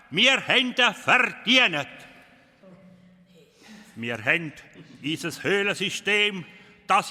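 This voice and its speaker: An actor with a Swiss German accent playing a pompous, solemn old dwarf elder giving a ceremonial speech in a children's radio play. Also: male voice, sits high, pushed and bright, heavily acted. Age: older. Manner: solemn, declamatory, proud. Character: pompous bearded dwarf elder opening a festival. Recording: long cavernous hall reverb.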